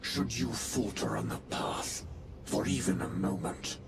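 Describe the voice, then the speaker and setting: A man playing a cold, suspicious warrior chaplain in a video game cutscene, speaking in a stern, measured, ominous way. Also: low voice, sing-song, creaky, over music, full character voice. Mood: cold threat. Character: cold, suspicious, unforgiving Space Marine chaplain, menacing authority.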